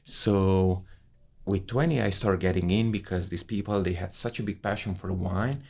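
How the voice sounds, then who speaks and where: Deep gravelly-voiced man. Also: normal speed, darkish tone. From Romania. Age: 30s. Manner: effusive, enthusiastic storytelling with non-native English phrasing. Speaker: guest, wine professional. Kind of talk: casual conversation.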